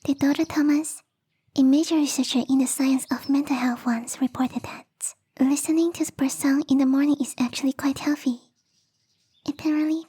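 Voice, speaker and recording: A woman voicing a bubbly, lovestruck young female snow spirit in a soft, breathy ASMR roleplay whisper, coy and rambling. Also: sits high, close to an everyday voice. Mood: bubbly, chatty.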